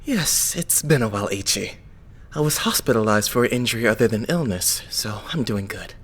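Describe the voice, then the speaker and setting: A man voicing a gentle, modest, devout young male idol in an anime fandub, courteous and self-effacing. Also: lowish voice, expressive, fairly quick, slightly rough. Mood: polite, modest. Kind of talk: anime-style fandub voice acting.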